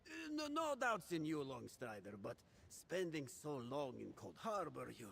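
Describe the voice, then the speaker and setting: A man's voice: on the high side, sing-song, unhurried, vocal fry, fairly bright tone, slight background noise, exaggerated voice. A man playing a tiny, boastful, lovesick drunken pirate cat in a video game, talking theatrically. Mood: jubilant. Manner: talks of self in third person, theatrical. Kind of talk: video game character acting.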